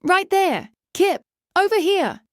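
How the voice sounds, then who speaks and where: Woman voicing an eager, high-pitched little animal schoolmate. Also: very animated, takes it slowly, no echo, studio quality, heavily acted. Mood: excited, inviting. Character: eager, friendly young animal schoolmates in a storybook.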